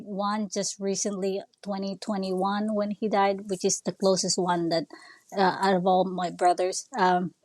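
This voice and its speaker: Halting Filipino woman in her fifties, dry sound. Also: medium pitch, dry recording. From Philippines. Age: 50s. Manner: halting, searching for words. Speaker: podcast guest, nonprofit founder. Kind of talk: casual interview conversation.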